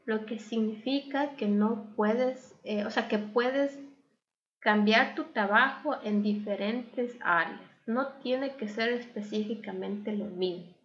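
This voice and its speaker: A woman's voice: mid-range voice, lo-fi sound. A young adult woman living abroad, speaking candidly and thoughtfully in a casual interview, with many fillers. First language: not German. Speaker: interview guest.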